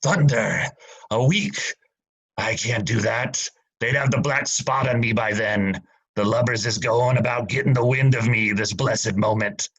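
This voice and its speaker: A man plays an old sea captain gone to drink, rough and fearful. He talks in salty sailor dialect at a middling pitch, swinging between pleading and bluster. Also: measured pace, a bit bright, rough recording. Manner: gruff seafaring dialect, pleading. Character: old drunken male pirate captain, frightened. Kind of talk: student radio play acting.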